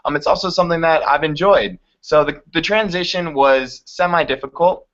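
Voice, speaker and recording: A man's voice: a little high, moderate pace, a bit bright, dull audio. A man moderating a live student web chat, upbeat and conversational.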